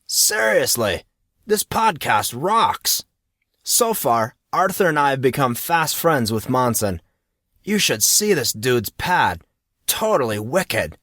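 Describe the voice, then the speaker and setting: A man's voice: medium pitch, sing-song, takes it slowly, sharp tone, dry recording, pitched above the performer's own voice, full character voice. Man playing a laid-back teen boy, slangy, breezy drawl, audiobook character, dry close sound. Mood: enthusiastic. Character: laid-back slangy teenage schoolboy recapping events for his pals.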